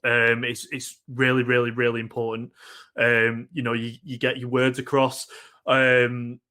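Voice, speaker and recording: Mancunian man, halting workshop talk. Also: mid-range voice, monotone, bright, forceful tone. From Northern England, Manchester. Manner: hesitant, lots of ums and repeated words. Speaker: workshop speaker on esports.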